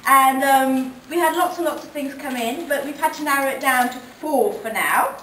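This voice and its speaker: A woman's voice: high voice, normal speed, fairly bright tone. A woman editing a radio show, leading an editorial meeting and asking questions with frequent ums. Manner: leads discussion, asks questions, hesitant ums. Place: conference meeting room. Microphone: distant room mic. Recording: echoey room from far off, faint noise.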